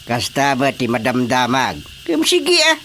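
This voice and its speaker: A man's voice: mid-range voice, wildly swooping pitch, unhurried, sharp tone, a little hiss or hum, exaggerated voice. An actor playing a weary, principled older fisherman father in a radio drama, grumbling expressively. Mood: concerned, grumbling.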